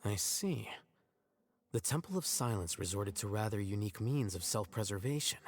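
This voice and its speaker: An actor playing an aloof, calm young male scholar with a deadpan, unhurried manner in an anime video game. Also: on the low side, no echo, faint music behind. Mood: analytical.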